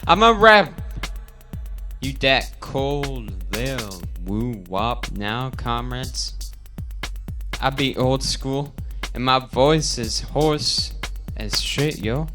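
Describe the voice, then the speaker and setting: A man voicing an old male farm pig elder in a parody storybook, a solemn visionary with declamatory, drawn-out, slang-heavy speech. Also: medium pitch, sharp tone, full character voice. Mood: solemn, proud. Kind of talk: parody storybook character reading.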